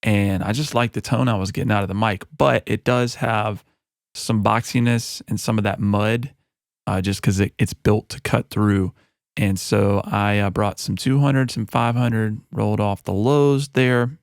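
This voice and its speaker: A man who is a music producer giving a relaxed, chatty walkthrough of a mixing session into a broadcast mic in his home studio. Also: on the low side, a little slow, creaky, no room sound. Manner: relaxed and conversational, with frequent fillers. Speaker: music producer and recording artist. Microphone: broadcast studio microphone.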